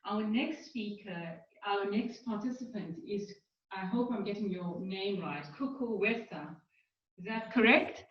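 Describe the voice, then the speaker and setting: A woman moderating a webinar, softly and tentatively introducing the next participant. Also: mid-range voice, a little slow. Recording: roomy, distant, lo-fi call audio.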